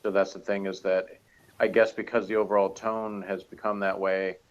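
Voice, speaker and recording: A man's voice: deep voice, little pitch movement, normal speed, highs cut off. A man co-reviewing a TV series in casual, unscripted conversation.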